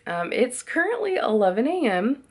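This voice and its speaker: A woman's voice: a little high, very animated, normal speed, husky. A young woman vlogging casually at home, chatty, with lots of "like" fillers and small laughs. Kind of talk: casual vlog chat. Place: home kitchen.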